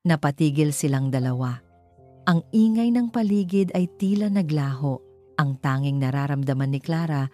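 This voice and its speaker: Female narrator for romance audio story, Filipino accent, low and soft-edged mellow delivery.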